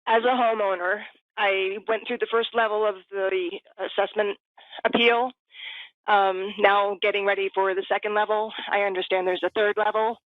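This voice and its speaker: Flat-voiced woman on a tinny phone line. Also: mid-range voice, moderate pace, dry recording. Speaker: homeowner calling in. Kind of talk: call-in question.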